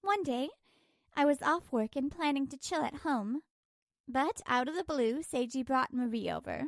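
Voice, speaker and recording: High-voiced exasperated wife, acted by a woman. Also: expressive, moderate pace, dry recording, studio quality. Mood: weary, troubled. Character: exasperated working wife, narrating her marital troubles. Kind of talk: scripted manga dub narration and dialogue.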